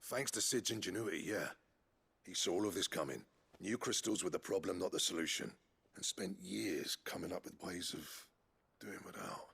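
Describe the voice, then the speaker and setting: A low, heavily gravelly voice for a proud master smith in a video game. He is husky and brooding, weighed down by guilt, with an earthy gruffness and lively swings in pitch. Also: moderate pace, full character voice. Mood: grave, resigned. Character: weary, proud male master smith, guilt-laden exile. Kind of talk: video game drama acting.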